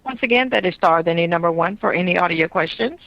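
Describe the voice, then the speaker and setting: A woman with a slightly low voice gives scripted instructions as a conference operator. The sound is dry and somewhat muffled, as over a call line. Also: quick pace, vocal fry, dry recording. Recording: dull, dry phone conference audio.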